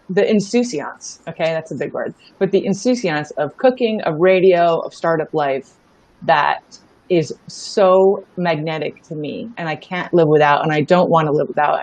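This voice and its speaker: A woman's voice: deep voice, darkish tone, dry recording, low-quality recording. A middle-aged woman talking casually as an interview guest, telling stories in a candid, emphatic way and often checking in with the listener. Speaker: interview guest, startup founder. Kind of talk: casual conversational storytelling.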